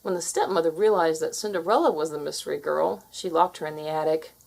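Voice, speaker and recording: A woman's voice: sits low, fairly even intonation, fast talker, vocal fry, darkish tone, low-quality recording. A woman narrating a children's fairy tale as a scripted read-aloud.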